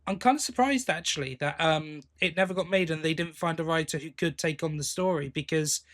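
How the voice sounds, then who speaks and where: A man's voice: on the high side, a little fast, a bit bright. A man hosting a podcast, talking in a casual, conversational discussion style. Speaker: podcast host.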